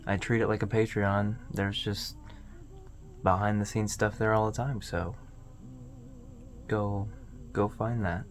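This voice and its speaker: A man hosting a casual video commentary, talking in an informal, halting, rambling way. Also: fairly low pitch, flat delivery. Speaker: video host.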